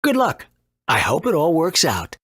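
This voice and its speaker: An actor playing an eccentric, boastful doctor and inventor in a video game, brusque and quick to scold. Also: male voice, a little high, wildly swooping pitch, a bit bright, breathy edge, dry recording, heavily acted. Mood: brisk. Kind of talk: animated video game voice acting.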